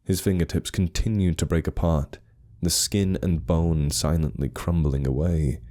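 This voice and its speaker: Very deep, dark-voiced male horror narrator. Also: steady tone, measured pace. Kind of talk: dramatic scripted narration.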